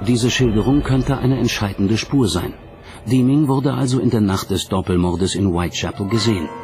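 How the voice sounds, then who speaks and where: A man narrates a documentary in a low-pitched voice. He reads from a script, and music plays clearly behind him. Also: normal speed.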